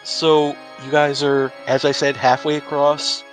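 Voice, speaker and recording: A man's voice: fairly high pitch, little pitch movement, measured pace, faint music behind. A man narrating as game master for a tabletop game, joking and laughing often with his players.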